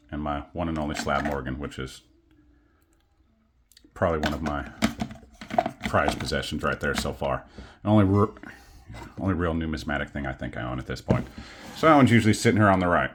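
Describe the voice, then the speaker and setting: A man casually showing his collection on camera at home, rambling and self-deprecating with many pauses and fillers. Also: low-pitched, slight background noise. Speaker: coin collecting vlogger. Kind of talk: casual show-and-tell. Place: home studio.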